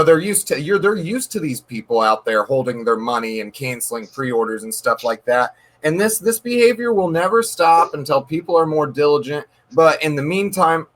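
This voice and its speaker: Earnest, slightly high-pitched man. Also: bright, forceful tone. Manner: earnest, emphatic, repeats words while thinking. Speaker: card shop owner, guest. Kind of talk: casual conversational interview. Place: dark room.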